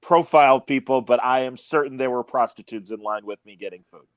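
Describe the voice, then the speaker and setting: A middle-aged man telling lively anecdotes in a casual chat, acting out quotes and laughing often. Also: mid-range voice, fairly quick, dry recording, highs cut off. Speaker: podcast guest.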